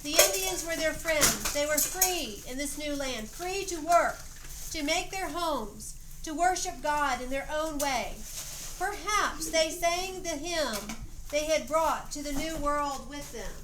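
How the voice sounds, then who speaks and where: A high-pitched woman narrates a family pageant at an unhurried, deliberate pace. She reads plainly for young listeners and slips into casual stage directions. The recording is an echoey amateur home video with clear background noise. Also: animated. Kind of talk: read-aloud storytelling with casual stage directions.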